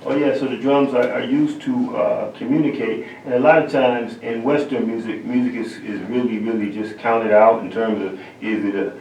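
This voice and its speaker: A male drummer being interviewed, with a gravelly voice at an ordinary pitch, who gropes for words and restarts often before landing on his point. He is heard in an echoey room, somewhat away from the microphone, with faint background noise. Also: steady tone, a little mellow, dull audio. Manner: thoughtful, halting, many fillers. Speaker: drummer, guest. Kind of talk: interview answers. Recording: roomy sound from a distant mic.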